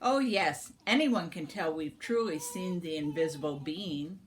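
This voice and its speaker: A woman's voice: deep voice, a little slow, a little hiss or hum. Woman voicing haughty, insistent older sisters. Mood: boastful, demanding. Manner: insistent, bragging, flustered when questioned. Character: two haughty, vain older sisters, young women, boastful and demanding.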